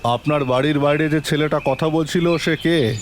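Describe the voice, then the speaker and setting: A man playing a stern police inspector in an audio drama, questioning briskly and probingly. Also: on the high side, fairly even intonation, slight background noise, natural voice. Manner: brisk, interrogating. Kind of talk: Bengali audio drama acting.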